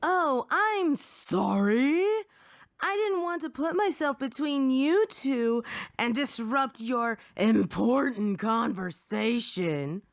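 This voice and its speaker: A woman's voice: on the high side, expressive, slow, no room sound, studio quality. A woman playing a sassy, indignant visual novel narrator in an ASMR roleplay, with a sarcastic, huffy manner.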